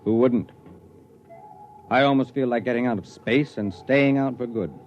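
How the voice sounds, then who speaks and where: An actor playing a weary, pragmatic spaceship commander in a 1950s radio drama, matter-of-fact and wry. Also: male voice, mid-range voice, moderate pace, faint music behind, close to an everyday voice. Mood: weary, musing. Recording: dull, noisy vintage radio recording.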